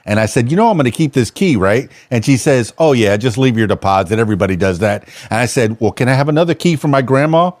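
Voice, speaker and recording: A man's voice: mid-range voice, expressive, fast, few pauses, darkish tone, dry recording. A man hosting a podcast, chatting casually and rambling with lots of fillers and restarts. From Miami. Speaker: podcast host. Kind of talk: casual interview chat.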